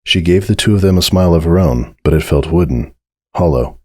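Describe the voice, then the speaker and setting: A man's voice: sits low, animated, fairly quick, darkish tone, no echo, studio quality. A man narrating a scripted story as a dramatic reading.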